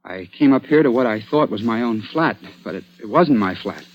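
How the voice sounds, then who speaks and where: A man's voice: medium pitch, lively intonation, moderate pace, slight background noise, lightly acted. An actor playing an agitated, stubborn young man-about-town caught up in an old-time radio mystery, speaking in a dramatic, exclamatory way. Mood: groggy, pleading. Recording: dull, band-limited vintage radio recording.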